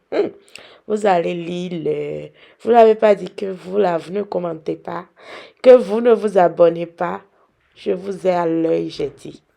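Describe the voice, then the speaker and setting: Hoarse young woman, unhurried and gravelly, teasing viewers while she cooks. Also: fairly low pitch, warm tone. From Benin. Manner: chatty, teasing, addresses viewers directly. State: hoarse from a cold. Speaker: cooking vlogger. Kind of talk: casual cooking demonstration.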